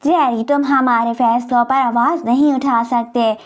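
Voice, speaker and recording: A woman's voice: a little high, steady tone, unhurried, pitched below the performer's own voice, natural voice. A woman voicing a stern elder female sea creature, an authoritative cartoon leader who speaks commandingly. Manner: commanding, severe.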